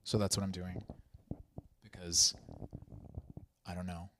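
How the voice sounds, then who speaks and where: A man's voice: low voice, little pitch movement, slow, fairly bright tone, slight background noise. A man in his twenties talking about his acting career in a casual podcast chat, hesitant and full of fillers. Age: 20s. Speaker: actor and podcast guest.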